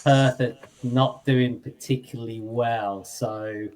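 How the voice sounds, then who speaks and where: A man's voice: mid-range voice, fairly even intonation, takes it slowly, a little mellow. A man co-hosting a football podcast, rambling casually and poking fun at himself. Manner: rambling, self-deprecating storyteller. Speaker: podcast co-host. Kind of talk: casual chat.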